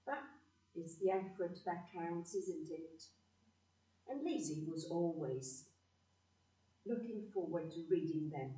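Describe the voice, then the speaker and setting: A woman's voice: lowish voice, expressive, takes it slowly, long pauses, mellow. An older woman playing a blunt, fond relative telling a family story in a community radio play. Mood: fond, reflective. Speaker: narrator and actor in a community audio play. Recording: roomy echo, distant microphone.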